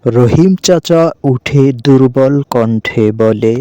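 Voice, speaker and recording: Mid-pitched male cartoon story narrator. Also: takes it slowly, mellow, clean tone, no echo, low-quality recording. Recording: music behind the voice.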